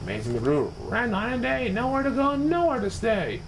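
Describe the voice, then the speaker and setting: Male storybook narrator, high-pitched, dramatic and swooping, reading children's rhyme with faint noise behind. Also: normal speed, poor audio. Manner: expressive, dramatic. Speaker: storybook reader.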